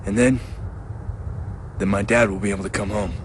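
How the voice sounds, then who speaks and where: A man's voice: lowish voice, steady tone, moderate pace, slight background noise, close to an everyday voice. An actor playing a determined, impulsive young man in a video game, talking in a direct, informal way. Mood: eager. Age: young adult. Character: determined, impulsive young man seeking his lost father. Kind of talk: cinematic video game acting. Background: faint music.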